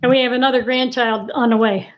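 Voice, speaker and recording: A woman in her sixties, a guest on a casual livestream chat, talking in an unhurried, rambling way. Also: a little high, fairly even intonation. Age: 60s. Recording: dull, lo-fi call audio.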